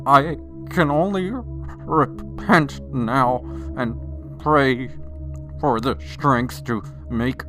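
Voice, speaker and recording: Male actor as suffering biblical servant, extremely slow, halting, pleading, humble, formal, radio drama, music underneath. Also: mid-range voice. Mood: humble, repentant.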